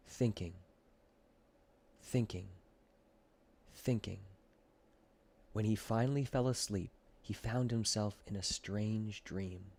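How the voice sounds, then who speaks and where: A man's voice: on the low side, darkish tone. A man narrating a scripted children's audiobook as its storyteller.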